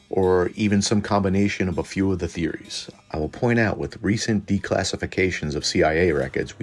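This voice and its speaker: A man hosting a lore deep dive in a colloquial, irreverent way, part scripted commentary and part casual aside. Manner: colloquial, irreverent, mildly profane. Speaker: host of a cryptid and tabletop lore channel.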